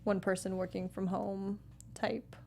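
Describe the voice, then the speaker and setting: A woman's voice: medium pitch, little pitch movement, a little slow, creaky, a little mellow. A woman co-hosting a film discussion show, speaking in an agreeable, conversational way. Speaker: talk show co-host. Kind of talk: casual film review discussion.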